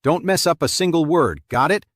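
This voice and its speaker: Man voicing a cold, commanding avenger, lively intonation. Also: on the high side, moderate pace, no echo, clean studio sound, pitched above the performer's own voice, natural voice. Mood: mocking command. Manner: stern, taunting, commanding.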